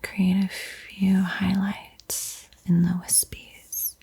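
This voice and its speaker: A woman giving a gentle, encouraging art tutorial in a soft, breathy ASMR whisper. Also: medium pitch, completely flat delivery. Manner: slow, gentle, encouraging instruction. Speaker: host teaching a beginner painting tutorial.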